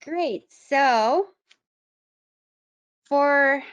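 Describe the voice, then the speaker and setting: High-voiced Romanian woman presenting. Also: very slow, highs cut off. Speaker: webinar presenter, human rights advocate. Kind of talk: educational webinar presentation.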